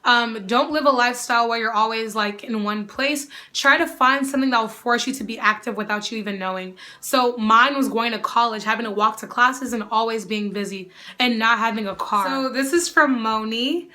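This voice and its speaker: A young woman answering viewer questions in a casual vlog, sassy and animated. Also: on the high side, normal speed, few pauses. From United States. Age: young adult. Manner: sassy, animated, often calls the viewer "girl".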